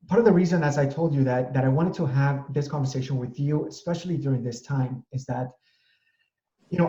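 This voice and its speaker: A man's voice: medium pitch, warm tone. A middle-aged man hosting an interview, talking in long, rambling sentences full of restarts. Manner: rambling, many restarts and fillers. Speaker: interview host, real estate broker. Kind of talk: casual conversational interview. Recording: echoey room, dull audio.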